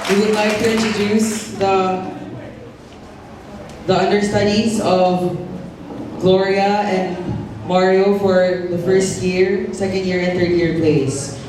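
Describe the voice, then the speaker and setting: A formal announcer speaks slowly from a stage. The voice is distant and washed in heavy echo, with a loud crowd around it. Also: mid-range voice, flat delivery. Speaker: announcer introducing cast and crew. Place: large hall with audience. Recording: echoey noisy hall, distant mic, audience murmur.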